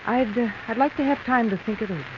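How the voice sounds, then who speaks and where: A woman's voice: fairly high pitch, fast, close to an everyday voice. An actress playing a tough, calculating young woman with a dry, hard-edged manner in a 1940s radio drama. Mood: cool, evasive. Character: cool, calculating, tough young female schemer. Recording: hissy, muffled vintage radio recording.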